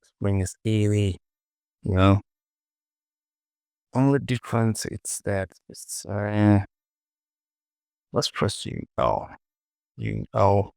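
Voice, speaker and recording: A man giving a casual software tutorial, talking through his work in short, informal instructions as he goes. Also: fairly low pitch, fairly even intonation, slow, gravelly, long pauses, clean tone, dry recording, studio quality. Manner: informal, muttered short instructions to self and viewer. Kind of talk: casual screen-recorded software tutorial, talking while working.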